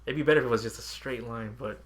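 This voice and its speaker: A man's voice: medium pitch, rapid-fire. Giggly guy chatting on a podcast. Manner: giggly, frequently chuckles while talking. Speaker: podcast co-host. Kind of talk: casual video game chat.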